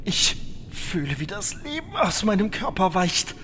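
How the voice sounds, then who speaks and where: A man playing a melodramatic dying victim in a parody audio drama, wailing in a theatrical, drawn-out way. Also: high-pitched, big pitch swings, pushed and bright, slight background noise, heavily acted. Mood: melodramatically dying.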